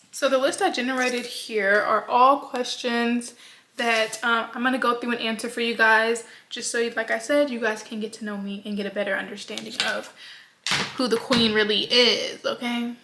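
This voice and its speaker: A woman's voice: fairly high pitch, pushed and bright. A woman of twenty, chatting casually to her viewers in a get-to-know-me vlog, rambling with plenty of ums and likes. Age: 20s. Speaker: vlogger. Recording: echoey room sound.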